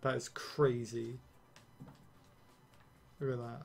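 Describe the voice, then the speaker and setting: A young man streaming a game, rambling and ranting casually with swearing and quick laughs. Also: mid-range voice, flat delivery, vocal fry, husky, faint music behind. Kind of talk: casual gameplay commentary.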